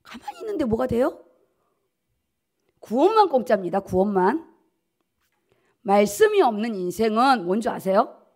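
A middle-aged woman delivers a church sermon as a pastor. Her voice sits on the high side, and she drives points home by repeating key words with emphasis. She speaks into podium microphones at a pulpit. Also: big pitch swings, moderate pace, pauses often, darkish tone. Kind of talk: sermon, preaching at a dawn service. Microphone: podium gooseneck microphones.

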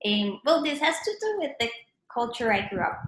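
A woman's voice: on the high side, very animated, normal speed, a bit bright, a little hiss or hum. A woman in her thirties from Colombia with a Colombian Spanish accent, a mathematician answering interview questions in a thoughtful way, often restarting her phrases. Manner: thoughtful, self-correcting, frequent restarts. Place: home room with plants. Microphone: webcam at desk distance. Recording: echoey room on a webcam.

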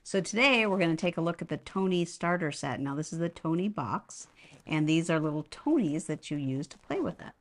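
A woman's voice: low-pitched, lively intonation, brisk. A woman co-hosting a casual unboxing and product demonstration, recorded inside a home. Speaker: product review co-host. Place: indoors at a home.

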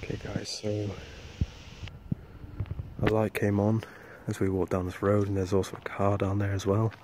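Low flat-voiced man filming at night. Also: moderate pace. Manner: hushed, hesitant, many fillers. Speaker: urban explorer vlogger. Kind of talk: casual vlog narration. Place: outdoors at night on abandoned mill grounds. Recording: faint outdoor background noise.

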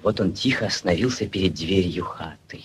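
A man narrating a 1940s radio play in a solemn, lyrical, theatrical manner with drawn-out phrasing. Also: low voice, lightly acted. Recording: 1940s radio recording with music behind.

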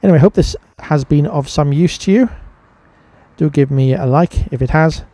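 A man you would hear narrating a homemade restaurant review online, mellow and unforced. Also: fairly high pitch, moderate pace, no room sound. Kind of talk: casual voice-over review narration.

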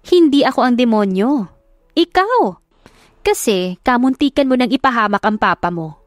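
A woman's voice: fairly high pitch, lively intonation, moderate pace, dry recording, clean studio sound, lightly acted. A Filipino woman voicing a scheming stepmother villainess, mocking and haughty. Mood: scornful.

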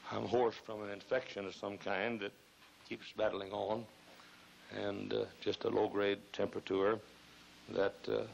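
Older male pastor, hoarse and gravelly, lowish voice, emphatic pulpit preaching with loud bursts; pulpit mic in a big church, faint tape hiss. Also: little pitch movement, measured pace, lo-fi sound. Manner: emphatic, repeats phrases, rises to loud bursts. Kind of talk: fiery sermon. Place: large church auditorium, choir behind. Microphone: pulpit microphone.

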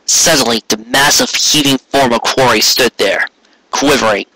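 A man's voice: mid-range voice, moderate pace, bright, forceful tone, rough recording. A man narrating a story as a dramatic reading, intense in manner, now and then stumbling over words. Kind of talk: dramatic reading of fan fiction.

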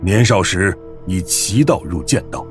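A man's voice: low-pitched, expressive, faint music behind. An actor playing a composed, formal swordsman in a martial-arts audio drama, speaking solemnly. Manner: solemn, measured self-introduction. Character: composed, formal male swordsman of a wuxia world.

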